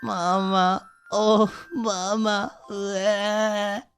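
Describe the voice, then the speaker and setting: A man voicing a small, playful star creature that giggles and cries, in a squeaky, whiny voice, for a storybook. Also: little pitch movement, very slow, fairly bright tone, faint music behind, heavily acted. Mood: wailing.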